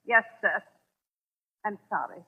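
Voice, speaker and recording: Deferential housekeeper, tinny vintage radio. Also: female voice, medium pitch, animated, slow, husky. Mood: wistful deference. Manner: polite, servile, hesitant. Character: deferential, sentimental female housekeeper to a gentleman. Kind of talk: 1940s radio acting. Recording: tinny old 1940s radio recording.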